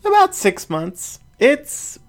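A man's voice: very high-pitched, wildly swooping pitch, takes it slowly, voice pushed up, exaggerated voice. A man voicing a bashful young female raccoon who hesitates and trails off in an audiobook reading. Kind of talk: storybook reading.

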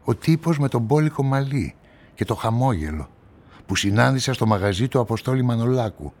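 An actor playing a brooding journalist and amateur sleuth in a radio play, measured and introspective. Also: male voice, medium pitch, animated, a little mellow. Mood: inquisitive. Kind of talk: radio play narration and dialogue. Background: music.

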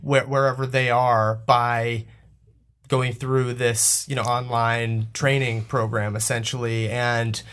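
A man's voice: medium pitch, level tone, slow pace, a bit bright. A man asking curious follow-up questions in an interview. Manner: curious, inquiring.

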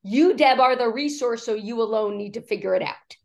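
A woman executive coach, hoarse from a cough, talking in a podcast interview and acting out quoted speech as she tells stories. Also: a little high, brisk. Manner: animated storytelling, acts out quoted speech.

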